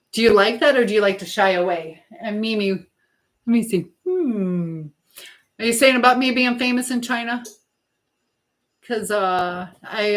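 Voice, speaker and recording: A woman hosting a casual live stream as she cooks in a home kitchen, chatting in a meandering way and greeting viewers. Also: mid-range voice. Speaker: livestream host. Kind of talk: casual live-stream chat while cooking, reading out comments.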